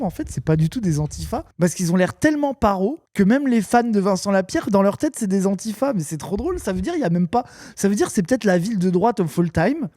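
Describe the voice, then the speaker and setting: A male streamer reacts to a video with sarcastic, mocking asides and keeps breaking into laughter. His voice is mellow and relaxed. The dry, close streaming mic picks up no room sound. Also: high-pitched, dry recording. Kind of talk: live-stream reaction commentary.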